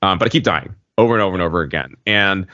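A man with a very deep voice talks casually as a podcast co-host. He explains in a wordy, hesitant way, wandering into asides. The sound is slightly dull. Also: animated, rapid-fire, sharp tone. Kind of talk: casual podcast chat, explaining video game slang. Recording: muffled audio in an echoey room.

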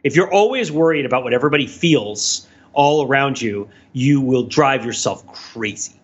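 A man's voice: medium pitch, animated, normal speed, husky, dull audio. A man co-hosting a podcast in casual, analytical chat, thinking aloud in a discursive way. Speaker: podcast co-host.

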